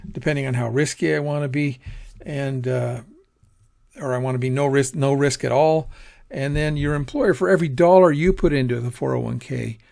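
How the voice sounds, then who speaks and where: Gravelly-voiced man teaching personal finance. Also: mid-range voice, darkish tone. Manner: conversational, rambling, self-correcting, acts out quoted asides. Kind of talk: informal lecture on personal finance.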